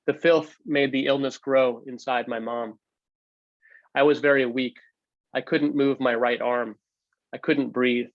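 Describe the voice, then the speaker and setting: A man reading a personal narrative aloud in measured, broken phrases, with an occasional slip and correction. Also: mid-range voice, dry recording, muffled recording. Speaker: book co-editor reading aloud. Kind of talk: read-aloud oral history narrative.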